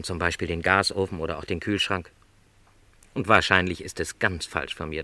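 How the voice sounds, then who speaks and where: Low-voiced, resigned travelling salesman. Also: fairly bright tone, no echo. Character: lonely middle-aged travelling salesman, resigned, gentle dreamer. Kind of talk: literary radio play dialogue.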